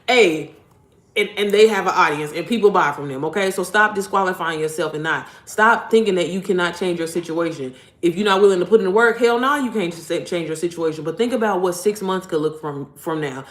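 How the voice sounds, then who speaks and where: A woman's voice: fairly quick, fairly bright tone, reverberant. A young woman, an online business coach giving a casual, blunt, profane motivational talk straight to her viewers. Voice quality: deep voice. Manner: blunt, profane, slangy, addresses viewers directly.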